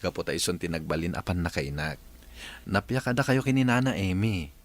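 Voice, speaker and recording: A man's voice: low voice, brisk, lightly acted. A man playing an earnest adult son in a dramatized family story, with an Ilocano accent. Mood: warm, apologetic. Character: earnest adult son reconciling with long-lost parents. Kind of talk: dramatized Ilocano radio-style story acting.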